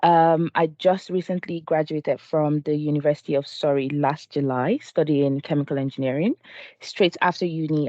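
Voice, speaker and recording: A woman in her twenties from Nigeria, soft-spoken as a guest on a panel talk. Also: low-pitched, no echo. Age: 20s. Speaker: panel guest, junior project manager. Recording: dull lo-fi sound.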